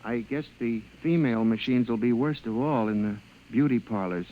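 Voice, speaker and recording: A man's voice: medium pitch, normal speed, phone-quality sound, lightly acted. An actor playing an agitated, pleading male convict in an asylum who insists he is sane, in 1950s radio drama style. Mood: rambling, resigned. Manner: pleading, fervent. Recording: old-time radio recording.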